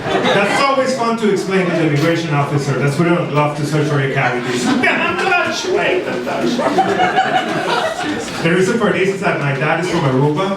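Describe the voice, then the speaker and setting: Man, stand-up set, self-deprecating asides, slightly high voice, loud room noise. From Venezuela. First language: Spanish. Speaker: stand-up comedian. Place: comedy club stage. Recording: roomy club sound from far off. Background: audience laughter.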